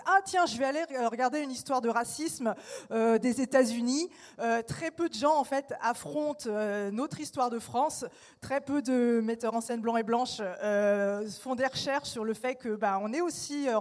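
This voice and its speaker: A woman's voice: fairly high pitch, darkish tone. A woman artist speaking on a panel discussion, hesitant with many fillers but emphatic in her points.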